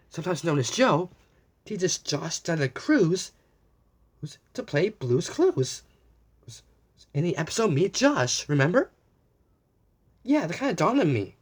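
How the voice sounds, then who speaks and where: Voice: mid-range voice, darkish tone, slightly rough. A story time host reading a storybook aloud to young children, with exclamations, asides and repeated phrases.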